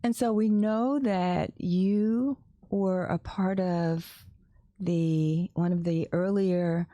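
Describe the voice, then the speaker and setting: Woman host, very low mellow voice, hesitant questions, dry mic. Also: steady tone, no echo, lo-fi sound. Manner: warm affirmations, halting questions with fillers. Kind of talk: casual interview chat.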